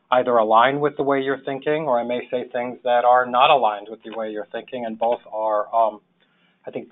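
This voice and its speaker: Phone-line New York man of sixty. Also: mid-range voice. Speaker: webinar presenter, public health social worker. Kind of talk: informal slide presentation. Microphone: phone or webinar call. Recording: thin phone-line webinar audio.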